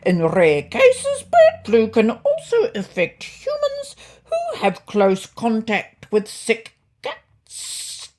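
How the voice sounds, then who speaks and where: Woman playing a pompous, drawn-out lecturing hen. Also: fairly high pitch, wildly swooping pitch, a little mellow, voice pushed up, heavily acted. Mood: self-important, lecturing. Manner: pompous, slow, drawn-out delivery. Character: self-important expert hen lecturing on bird flu.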